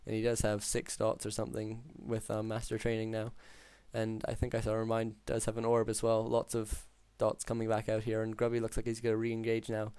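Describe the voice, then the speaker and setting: Flat-voiced man calling a strategy match. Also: on the low side, a little fast, hardly any pauses, smooth, no echo. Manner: steady, filler-heavy analytical play-by-play. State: hoarse. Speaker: game caster. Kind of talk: solo esports play-by-play commentary.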